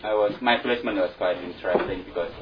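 Deep-voiced Indonesian man, heard from a distance. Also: fast talker. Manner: hesitant, restarts, non-native phrasing. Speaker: returned volunteer. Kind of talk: informal talk to an audience. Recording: distant, dull mic with faint noise.

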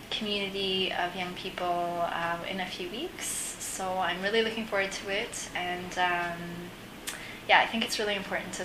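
A woman answering interview questions in a conversational way as a guest. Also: mid-range voice. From Canada. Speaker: interview guest, returned overseas volunteer. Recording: echoey room, clear background noise.

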